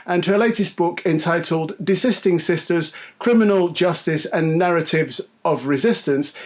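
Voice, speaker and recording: Formal male podcast host, dull lo-fi sound. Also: on the high side. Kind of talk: scripted intro and interview questions. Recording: dull lo-fi audio.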